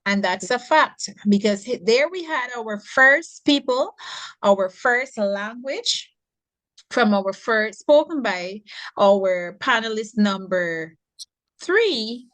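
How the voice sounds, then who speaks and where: Slow-speaking woman leading a panel. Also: mid-range voice, lively intonation, a bit bright, rough recording. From Guyana. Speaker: student panelist. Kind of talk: class panel discussion.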